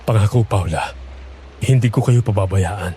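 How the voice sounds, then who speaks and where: A man playing a determined, protective young farmer in a horror audio drama, speaking in a measured, earnest way. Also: fairly low pitch, dark tone, slight background noise, natural voice. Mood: resolute.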